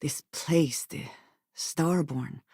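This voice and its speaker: A woman playing a guarded, earnest space explorer in a video game, speaking in measured, formal and precise phrases. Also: sits low, gravelly, mellow, slightly rough, dry recording, clean studio sound, full character voice. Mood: serious, guarded. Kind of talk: video game character dialogue lines.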